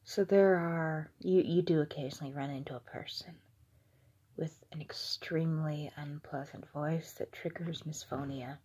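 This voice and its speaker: A young adult woman giving a whispered, halting ASMR monologue in a breathy voice, recorded indoors on a phone held at arm's length. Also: deep voice, fairly even intonation, darkish tone, a little hiss or hum. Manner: slow, hesitant, halting. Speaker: ASMR video creator. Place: small indoor room with curtains.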